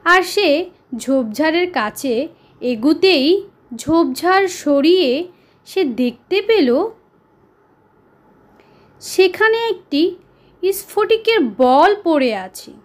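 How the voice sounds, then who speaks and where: A woman narrating a children's story as a storyteller, deliberate and pausing for dramatic effect. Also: very high-pitched, lively intonation.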